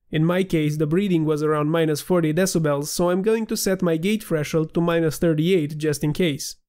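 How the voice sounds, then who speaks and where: Male tutorial narrator, a touch nasal, higher pitch, clear and steady. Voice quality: slightly nasal. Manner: clear, steady instructional delivery. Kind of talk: scripted screen-recording tutorial voiceover.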